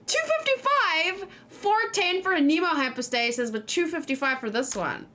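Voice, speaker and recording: A woman doing a casual let's play, excitable and thinking aloud, often repeating her phrases as she goes. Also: sits high, moderate pace. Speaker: game streamer, host. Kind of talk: casual let's play chat and live game commentary.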